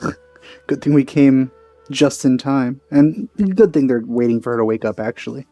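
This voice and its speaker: A man giving casual, low-key commentary as he plays a game, musing aloud. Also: steady tone, normal speed, mellow, dry recording. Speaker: gameplay streamer. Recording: game music behind.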